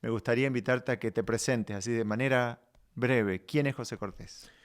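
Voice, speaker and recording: A man hosting a conversational podcast, speaking in a measured, reflective way with pauses as he addresses his guest. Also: mid-range voice. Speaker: podcast host.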